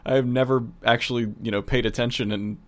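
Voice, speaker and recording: A man talking casually as an audio producer in a relaxed-format, behind-the-scenes conversation. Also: mid-range voice, a little fast.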